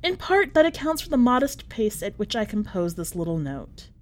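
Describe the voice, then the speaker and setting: A woman voicing a polite, chatty, quietly unhinged prisoner writing a letter, in a prim manner, as a dramatic story reading. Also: mid-range voice, very animated, moderate pace, natural voice. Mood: genteel, chatty. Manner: prim, ornate, politely understated phrasing.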